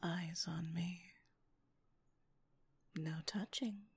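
A woman's voice: on the low side, fairly even intonation, extremely slow, vocal fry, husky. A woman whispering breathily in an intimate ASMR-style monologue, with a teasing, commanding and lingering delivery.

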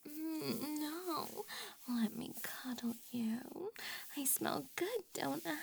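A woman's voice: extremely high pitch, gravelly, slight background noise, full character voice, poor audio. A woman playing a tipsy, doting older neighbor. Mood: coaxing. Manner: soft flirty coaxing, slipping into whispers. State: a little drunk. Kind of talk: audio roleplay.

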